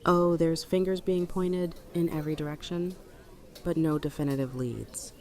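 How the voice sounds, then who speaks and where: A woman's voice: low voice, steady tone, normal speed, a little mellow. A woman playing a blunt, practical, seasoned survivor in an audio drama. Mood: grim. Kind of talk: fan audio drama acting.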